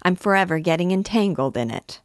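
A woman's voice: lowish voice, expressive, brisk, no echo, studio quality, lightly acted. A woman reading as a vain, amorous young cavalry captain. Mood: jovial. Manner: gallant, boastful, effusive. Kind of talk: audiobook character dialogue.

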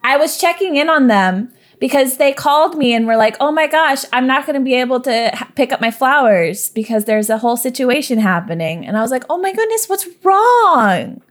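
A bubbly, naive young woman who rambles on and giggles between thoughts, as a woman plays her at the table. Her voice sits high and bright. She is cheerful and chatty, and she answers questions with an innocent, roundabout charm. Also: lively intonation, normal speed, few pauses. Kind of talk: in-character roleplay.

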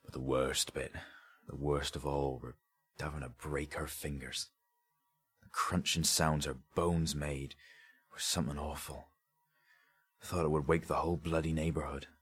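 A man's voice: extremely low pitch, flat delivery, unhurried, pauses often, fairly bright tone, breathy edge, full character voice. A man voicing a haunted, greedy grave robber in an audiobook story, with a confiding, colloquial way of telling things. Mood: haunted, grisly confession.

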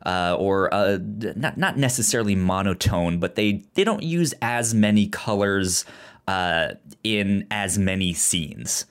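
A man co-hosting a podcast in casual film discussion, halting and often stumbling over or repeating his words. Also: lowish voice, smooth. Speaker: podcast co-host.

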